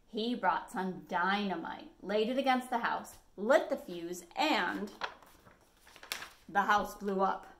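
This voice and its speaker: American woman reading to children, animated. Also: mid-range voice, slow, slight background noise. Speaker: library storytime host. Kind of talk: expressive children's picture book reading. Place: small living room at home, indoors.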